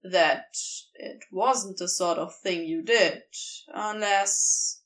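Woman putting on a halting, lower-toned male fallen angel. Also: fairly bright tone. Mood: halting, awkward. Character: guarded, surprised male fallen angel, bluntly awkward.

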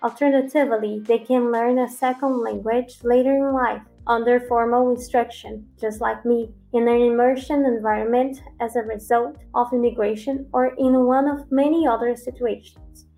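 A Brazilian-accented woman with a fairly high voice answers interview questions in a measured, academic way. Soft music plays faintly behind her. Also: fairly even intonation, low-quality recording. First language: Brazilian Portuguese. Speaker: podcast guest, language researcher.

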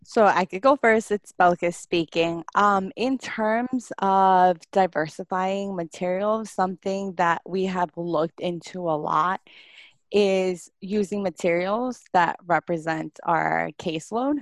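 A woman from Ecuador, a speech-language pathologist, answering interview questions in a measured, explanatory way. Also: lowish voice, dry recording.

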